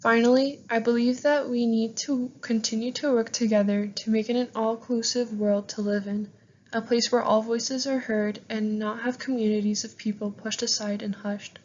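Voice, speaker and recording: A woman's voice: a little high, steady tone, normal speed, darkish tone, dull audio. A young Canadian woman reading out her prepared class presentation into a modest recorder that dulls the sound, like a slideshow handed in for a course. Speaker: student presenter. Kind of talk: scripted presentation, read aloud.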